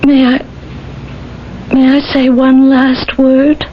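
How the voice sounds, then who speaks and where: High-voiced, anguished young bride. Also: female voice, monotone. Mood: resigned. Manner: solemn, pleading, drawn-out. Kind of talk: 1970s radio drama acting. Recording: muffled, hissy old radio recording.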